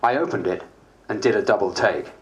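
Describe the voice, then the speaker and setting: A man's voice: on the low side, fairly quick, highs cut off, close to an everyday voice. A man playing a harried, self-mocking MP, narrating a dramatised diary in a dry, wry way. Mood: wry, weary. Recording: faint background noise.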